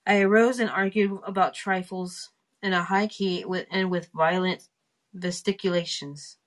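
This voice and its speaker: A woman reading a classic short story aloud, haltingly and carefully, sounding out the hard words as she goes. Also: lowish voice, fairly even intonation. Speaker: reader of a storytime podcast.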